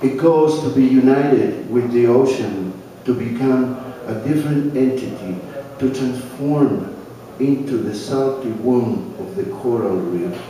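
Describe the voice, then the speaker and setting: A man's voice: medium pitch, warm tone. An older man from Chile, a native Spanish speaker with a Chilean accent, hesitantly introducing himself and then reading his poetry at an open mic. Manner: hesitant, halting with many fillers. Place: cafe open mic, roomy. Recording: echoey cafe from far off, with crowd.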